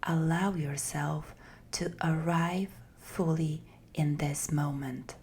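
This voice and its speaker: A woman guiding a meditation in a soft, breathy, half-whispered voice, gentle and soothing with long pauses. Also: sits low, steady tone, a little hiss or hum. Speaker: meditation guide. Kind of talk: guided meditation, slow scripted narration.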